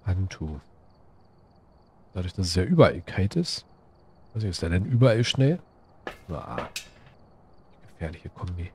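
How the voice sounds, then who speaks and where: A man's voice: sits low, big pitch swings, unhurried, pauses often, darkish tone, slight background noise. A man giving casual let's play commentary, thinking aloud to himself in short, musing phrases. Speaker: gaming streamer playing solo.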